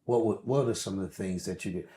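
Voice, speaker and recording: A man's voice: deep voice, quick pace, mellow. A man, a pastor, conducting a conversational church interview, putting questions in short phrases and echoing what his guest says. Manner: echoes and repeats the guest's words.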